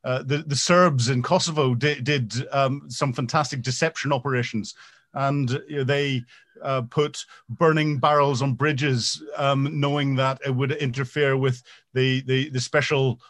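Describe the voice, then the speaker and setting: Man, military veteran, interview guest, mid pitch, halting, restarts, um-filled. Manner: halting, with many ums and repeated starts, growing animated. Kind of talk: conversational interview answer.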